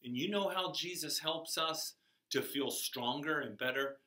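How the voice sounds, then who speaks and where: Slow, emphatic older man preaching in a classroom. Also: a little high, bright, forceful tone. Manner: slow, emphatic, rising on key words, gesturing at a whiteboard. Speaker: chapel speaker. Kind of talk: children's chapel sermon. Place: classroom with a whiteboard, indoors. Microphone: camera across the room. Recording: echoey classroom from far off.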